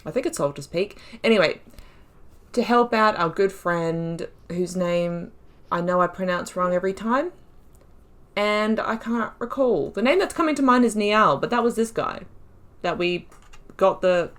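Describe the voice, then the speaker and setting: A woman's voice: medium pitch, lively intonation, measured pace. A woman hosting a video game playthrough, talking in a chatty, rambling, self-deprecating and jokey way as she comments on her play. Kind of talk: casual gameplay commentary.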